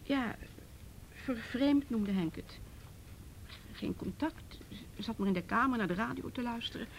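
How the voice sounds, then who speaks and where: A woman's voice: a little slow, low-quality recording. An actress in a radio play playing an anxious, distraught mother who stammers and repeats her words. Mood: anxious, halting. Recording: faint noise and low rumble.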